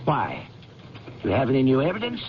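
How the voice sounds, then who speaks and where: A man's voice: medium pitch, huge pitch swings, brisk. An actor playing a puzzled, resistant doctor in a 1970s radio drama, questioning and objecting as he talks. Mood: puzzled, defensive. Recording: hissy, muffled old radio recording.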